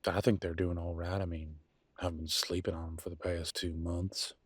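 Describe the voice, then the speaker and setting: A man playing a weary, embittered werewolf pack leader and husband in a dramatic audio roleplay, with a folksy, sarcastic, pausing delivery. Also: low voice, little pitch movement, slightly rough, no room sound. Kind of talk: dramatic one-sided relationship roleplay audio.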